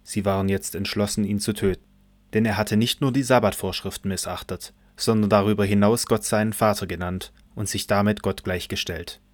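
Low-voiced man narrating scripture, dry sound. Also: fairly even intonation, a little fast, dry recording.

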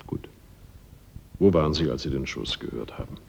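An actor playing a composed, methodical police inspector, measured and courteous as he questions witnesses in a radio crime drama. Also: a bass voice, gravelly, a little mellow. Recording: muffled radio drama recording, faint noise.

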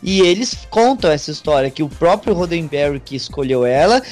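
High-pitched Brazilian man on a podcast panel. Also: lively intonation, a little fast, a little mellow. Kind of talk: casual anecdotal discussion. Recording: music under the voice.

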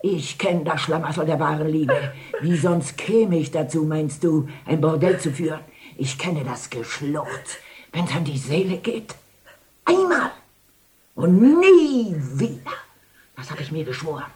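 Low-voiced imperious scolding brothel madam. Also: female voice, expressive, measured pace, a little mellow. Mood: scornful scolding. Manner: haughty, mocking, declamatory. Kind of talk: theatrical German radio drama acting.

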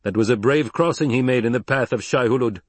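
Guy doing a stern desert tribe boss for an audiobook, formal n commanding, pitched up, really declaims. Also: fairly quick, no room sound, natural voice. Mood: firm, declaring.

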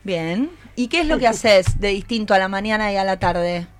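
A woman's voice: medium pitch, moderate pace. An Argentine woman hosting a live conversational radio interview, echoing back answers in an encouraging way. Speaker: radio host. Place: radio studio with a group. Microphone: studio microphone.

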